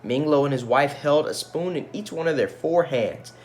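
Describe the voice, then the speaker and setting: A man's voice: on the high side, moderate pace, a little mellow, slight background noise. A man reading a picture book aloud as a teacher, with casual asides to young listeners.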